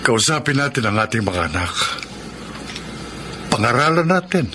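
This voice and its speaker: An actor playing a gentle, devout middle-aged father who counsels in a measured, solemn way in a Filipino radio drama. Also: medium pitch, very animated, slight background noise. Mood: solemn, fatherly.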